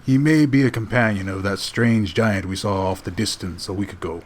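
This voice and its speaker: Man playing a steady low-voiced ship's captain. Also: normal speed, a little hiss or hum, lightly acted. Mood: surprised, curious. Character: kindly, commanding male ship's captain. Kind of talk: audio drama acting.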